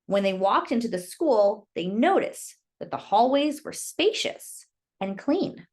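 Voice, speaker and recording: A woman reading a children's story aloud in an expressive, emphatic storytelling manner. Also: a little high, unhurried. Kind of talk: expressive read-aloud narration with brief asides.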